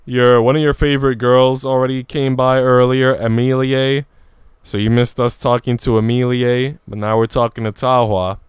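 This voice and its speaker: A man's voice: medium pitch, level tone, normal speed, clear, resonant tone, dry recording, studio quality. A man livestreaming casually, talking to his viewers in informal, slangy language. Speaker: game streamer. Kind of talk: casual livestream chat, reading visual novel dialogue aloud.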